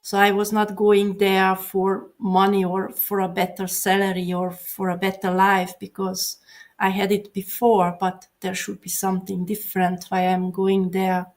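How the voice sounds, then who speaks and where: Slow-speaking woman. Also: medium pitch, monotone. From Hungary. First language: Hungarian. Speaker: guest. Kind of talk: casual interview chat.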